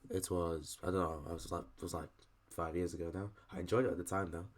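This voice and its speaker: Low-voiced, tired young Englishman. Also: fairly even intonation, fast talker. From England. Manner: rambling, laid-back storytelling with frequent restarts. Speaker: podcast host. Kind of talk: casual chat.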